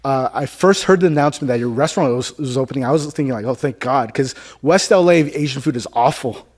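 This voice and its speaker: A man's voice: mid-range voice, fast, a little mellow. A man hosting a casual interview, laid-back and joking, full of filler words. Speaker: podcast host.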